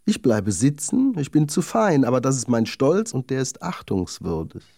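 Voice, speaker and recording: A proud little lady of a sewing needle from a children's fairy tale, acted out by a male reader. The delivery is self-satisfied and genteel, moving freely up and down in pitch. The tone is mellow, with some creak at the edges. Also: huge pitch swings, normal speed, no room sound, studio quality, exaggerated voice. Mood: self-admiring. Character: vain, haughty young lady darning needle.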